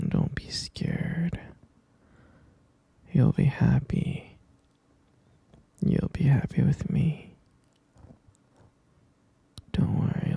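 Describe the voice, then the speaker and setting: A man playing a sweetly menacing, possessive boyfriend captor in a whispered ASMR roleplay, cooing and coaxing in a husky, halting voice. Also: a little high, dark tone, no room sound, full character voice. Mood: soothing, smitten. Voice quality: breathy, husky whisper with gravelly creak.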